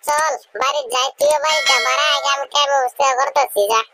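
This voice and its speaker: A very high, artificially raised cartoon voice plays a naive, penniless young bachelor. He sounds bashful and hesitant, speaking colloquially with a rural regional Bengali accent. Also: flat delivery, fast talker, pushed and bright, no room sound. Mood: eager, fretting. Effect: pitched-up cartoon voice. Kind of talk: comic cartoon dialogue.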